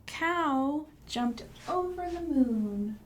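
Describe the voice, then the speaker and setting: A woman's voice: high voice, lively intonation, very slow. A woman hosting a storytime for young children, reciting rhymes and presenting books with simple questions and prompts.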